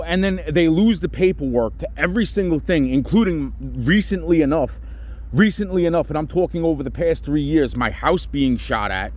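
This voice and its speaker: Agitated middle-aged man venting, fairly high voice. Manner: agitated, rambling, heavy swearing. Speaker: caller reporting to police. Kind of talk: venting on a phone call. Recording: noisy phone call, traffic sounds behind.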